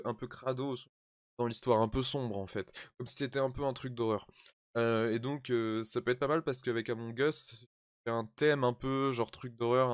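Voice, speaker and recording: A man's voice: medium pitch, no echo. Casual man in a very dry recording. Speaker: music video creator recording a drill track. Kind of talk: casual explanatory vlog chat.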